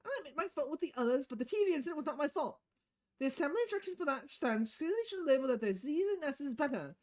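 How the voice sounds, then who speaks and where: An excitable, clumsy boss from a visual novel, as a woman acts it out, pitched high. The delivery is bouncy, animated and cheerfully defensive. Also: quick pace. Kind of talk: visual novel character read-aloud.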